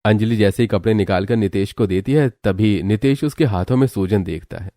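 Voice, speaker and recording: A man's voice: fairly low pitch, a little fast, mellow, no room sound, clean studio sound. A man narrating a scripted family cartoon story. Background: background music.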